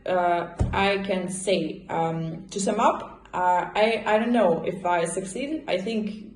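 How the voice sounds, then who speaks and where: A woman's voice: on the low side, slow. A woman from Russia, a native Russian speaker with a Russian accent, chatting casually and expressively as she answers viewers' questions in a vlog. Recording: roomy indoor echo.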